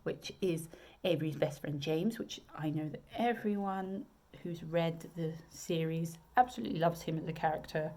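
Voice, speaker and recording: Low-voiced southern English woman, chatty. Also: normal speed, mellow. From England. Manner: chatty, many ums and restarts. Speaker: romance author. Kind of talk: casual video chat about her books.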